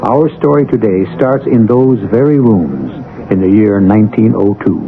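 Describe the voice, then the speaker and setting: A man hosting a radio mystery series with formal, deliberate, portentous scripted narration in 1970s style. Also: fairly low pitch, animated. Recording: tinny old radio broadcast over music.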